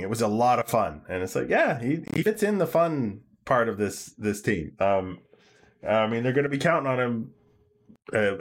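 Man, podcast host, chatty, laughing, casual banter, mid pitch. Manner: chatty, laughs often, restarts phrases. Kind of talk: casual sports chat.

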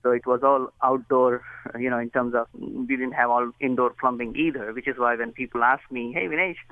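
A man's voice: medium pitch, steady tone, brisk, no room sound. A man from India with an Indian accent, a guest telling his story over the phone in an earnest, chatty way and laughing at his own jokes. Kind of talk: phone interview. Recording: tinny phone line.

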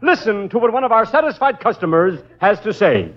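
An actor playing a hearty, slick announcer in a 1940s radio parody commercial, on a noisy, muffled old recording. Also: male voice, high voice, sing-song, fairly quick. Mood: hearty salesmanship. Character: hearty, slick mock-commercial announcer pitching motor oil.

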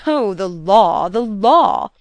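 Woman acting a sharp, jeering old governess. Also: lowish voice, steady tone, normal speed, clean tone, no room sound, natural voice. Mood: ironic, jeering. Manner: sharp, pointed, ironic.

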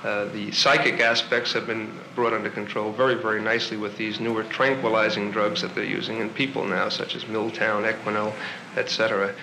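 An American man, a veterinarian, explaining clinically in a measured, formal and hesitant way on a 1950s portable recorder. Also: lowish voice, flat delivery, gravelly, echoey room, background noise.

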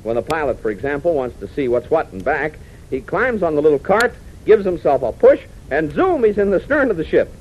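American male announcer, dramatic 1940s kids' radio serial delivery, very lively intonation, dull old recording. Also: fairly high pitch, brisk, a little hiss or hum. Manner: excitable, dramatic, addressing young listeners. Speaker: announcer and narrator.

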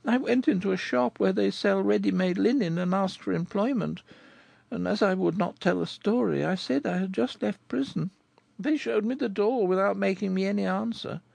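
A man's voice: sits high, normal speed, creaky, darkish tone, no room sound, voice pushed up, full character voice. A man voicing a sorrowful sixteen-year-old girl in an audiobook, with a plaintive, sighing delivery. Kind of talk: audiobook character reading.

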